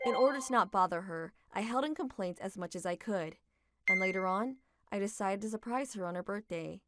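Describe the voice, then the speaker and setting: A young adult woman narrating a manga dub story in a steady, reflective reading. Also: medium pitch, a little fast.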